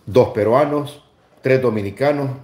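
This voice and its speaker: The sound is roomy and echoey, recorded indoors a little way from the device. A middle-aged man with a Salvadoran accent hosts a livestream in a slightly low voice. He talks casually and comes back to the same phrases to drive them home. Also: measured pace, darkish tone. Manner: repeats phrases for emphasis. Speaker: livestream host. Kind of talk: casual livestream chat and commentary. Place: small room indoors.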